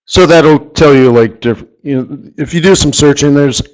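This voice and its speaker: A man teaching a live trading lesson who keeps clearing his throat as his voice gives out. He rambles, corrects himself as he goes and repeats a point for emphasis. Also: a little high, moderate pace, a little mellow, rough recording. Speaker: forex trading instructor. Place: studio or office, live stream.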